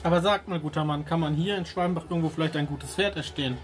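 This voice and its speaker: Rpg player talking all courtly and polite in character, middle pitch. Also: fast talker. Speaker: tabletop role-playing player. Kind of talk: in-character game chat with formal, courtly wording.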